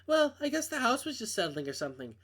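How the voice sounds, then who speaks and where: A man's voice: extremely high pitch, very animated, fast, fairly bright tone, pitched above the performer's own voice, exaggerated voice. A man voicing a falsely reassuring woman friend in a visual novel, soothing, insistent and downplaying. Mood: casually coaxing.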